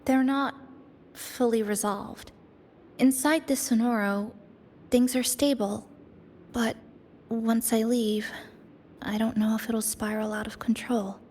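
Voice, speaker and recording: A woman's voice: a little high, steady tone, slow, pauses often, a little mellow, a little hiss or hum. A woman voicing a reserved, guarded young game heroine with a hesitant, understated delivery. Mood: uneasy, resolute. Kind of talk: anime game voice acting.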